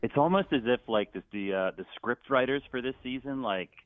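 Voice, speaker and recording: A man's voice: mid-range voice, brisk, clear, resonant tone, dry recording. A middle-aged man from Philadelphia, a stadium announcer talking casually as a guest, rambling with many fillers and restarts. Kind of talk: casual phone interview. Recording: tinny phone line, dry.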